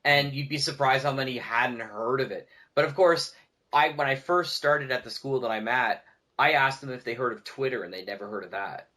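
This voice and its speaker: A man's voice: medium pitch, normal speed, sharp tone. A middle-aged man talking casually and at length as a guest in a podcast discussion. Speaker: podcast guest, audio drama producer.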